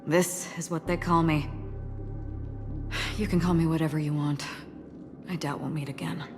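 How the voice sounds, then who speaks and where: A woman playing an enigmatic, composed wandering ranger in a video game, speaking in a measured, formal, deadpan way. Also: deep voice, big-room sound, over music, natural voice. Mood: detached, gentle.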